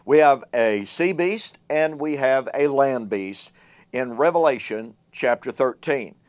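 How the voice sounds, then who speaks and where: A man hosting a Bible teaching show. He speaks in a measured way, slowing down and growing louder to stress key words. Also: medium pitch, expressive. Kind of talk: solo Bible teaching talk to camera.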